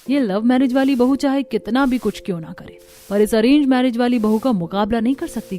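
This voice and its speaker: A woman's voice: on the high side, dark tone, natural voice. Woman acting a smug, boastful elder daughter-in-law.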